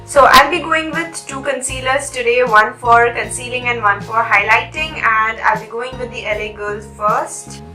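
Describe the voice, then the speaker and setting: A woman's voice: fairly high pitch, fairly even intonation, normal speed, few pauses. Nasal-sounding woman vlogger with a cold. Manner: chatty, apologetic, talks straight to viewers. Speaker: beauty vlogger. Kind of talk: chatty makeup tutorial and life update. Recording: roomy echo, faint music behind.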